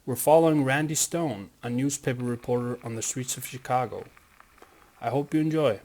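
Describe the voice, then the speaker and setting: A man reading a short scripted welcome for his channel. His voice sits in a middle pitch and stays polite and even. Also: moderate pace. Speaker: channel host introducing episodes. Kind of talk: scripted introduction.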